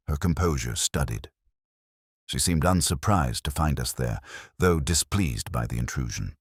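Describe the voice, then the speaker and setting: A man narrating a scripted first-person mystery in a measured, literary, melancholic manner. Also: extremely low pitch, husky, dry recording, studio quality.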